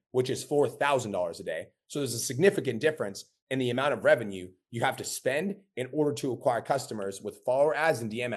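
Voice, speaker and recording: A man's voice: medium pitch, a little mellow. A man teaching a live coaching webinar, blunt and casual with frequent profanity. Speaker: business coach.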